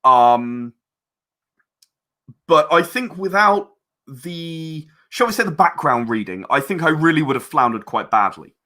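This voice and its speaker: A man's voice: a little high, big pitch swings, unhurried, sharp tone. A man being interviewed as an audio drama writer and director, talking conversationally in a reflective, self-deprecating and hesitant way.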